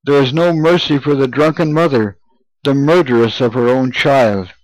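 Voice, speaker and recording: A man's voice: a little high, slow, dry recording, rough recording. A man reading aloud as a grieving drunken mother, pleading in old-fashioned speech. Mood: anguished. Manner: wailing, pleading, archaic speech. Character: grieving drunken mother, anguished, self-accusing.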